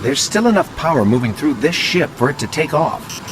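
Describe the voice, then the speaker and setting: A man's voice: on the high side, sing-song, moderate pace, background noise. A man voicing a small robot scout in a video game, inquisitive and wry, explaining things expressively. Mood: wry, observant. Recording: game audio with music behind.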